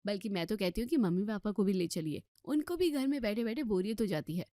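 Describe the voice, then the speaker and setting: A woman's voice: medium pitch, expressive, quick pace, no echo, studio quality, close to an everyday voice. Woman as a sweet, tactful young daughter-in-law. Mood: cheerfully persuasive. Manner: gently persuasive.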